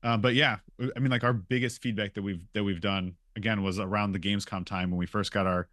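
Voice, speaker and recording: A man's voice: lowish voice, fairly even intonation, quick pace, a bit bright, no room sound. A man from a game studio chatting casually on a podcast, informal and emphatic, often repeating his phrases.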